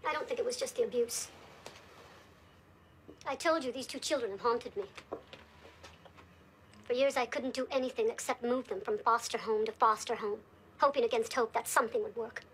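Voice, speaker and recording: A woman's voice: on the high side, moderate pace, long pauses, slight background noise, close to an everyday voice, poor audio. An actress playing a troubled, conscientious woman social worker in a film drama, speaking earnestly. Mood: grave, regretful.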